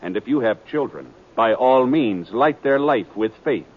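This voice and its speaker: A man reading a public service message and the program credits as a radio announcer, in a measured, formal delivery. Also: mid-range voice, expressive. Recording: dull old radio transcription with theme music.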